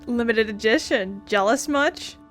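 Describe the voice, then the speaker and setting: A woman's voice: fairly high pitch, unhurried, over music. A woman giving casual let's play commentary, playful and sarcastic, with the odd swear word. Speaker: gaming video host.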